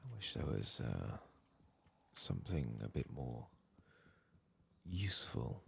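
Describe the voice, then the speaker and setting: A soft-spoken man murmuring unhurried ASMR commentary over a game, often dropping into a whisper. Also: extremely low pitch, very animated, vocal fry, slight background noise. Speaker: ASMR gaming host.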